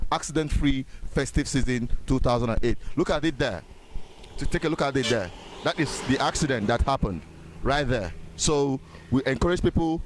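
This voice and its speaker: A man's voice: medium pitch, slight background noise. A man with a Nigerian accent reporting from beside an expressway and addressing viewers with public advice. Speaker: field reporter. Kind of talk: roadside report with safety advice.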